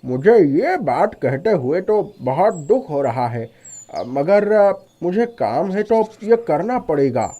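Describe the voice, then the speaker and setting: A man puts on an apologetic landlord for a storybook tale. The voice sits fairly high for a man, and the delivery is hesitant and regretful but insistent. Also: very animated, slow, warm tone, full character voice. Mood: regretful, firm. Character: apologetic but firm male shop landlord. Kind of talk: animated moral story dialogue.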